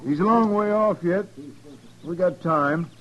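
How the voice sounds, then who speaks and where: Through a dull old radio recording comes a fairly high-voiced cowboy. He is a wary henchman in a posse, acted in 1950s radio western style. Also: unhurried. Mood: uneasy.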